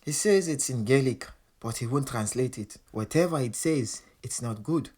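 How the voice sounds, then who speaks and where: An actor playing a proud, defiant champion boxer from Senegal, narrating a 1920s audio drama in the first person. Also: male voice, mid-range voice, normal speed, slightly rough, natural voice. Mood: wary, uneasy. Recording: loud crowd roar, heavy background noise. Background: cheering fight crowd and ambience.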